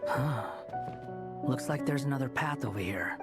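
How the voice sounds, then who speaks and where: An actor playing a wry, aloof young wanderer in a video game, speaking in a dry, guarded, deliberate way. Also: male voice, mid-range voice, expressive, loud music behind the voice, natural voice. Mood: wry, curious. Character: wry, aloof, brooding young male wanderer, secretly caring.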